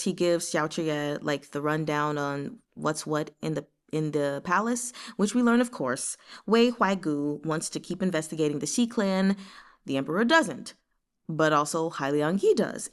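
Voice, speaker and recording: A woman hosting a book read-along, talking casually and expressively and drifting into asides. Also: on the low side. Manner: expressive, digressive, giggly asides. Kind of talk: casual chatty book discussion.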